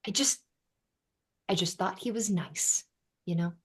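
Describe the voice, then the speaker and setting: A woman's voice: lowish voice, measured pace, slightly rough. A woman reading the part of an exasperated, blunt young roommate in a sitcom script, with emphatic, sardonic delivery. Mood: hurt. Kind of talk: sitcom script table read.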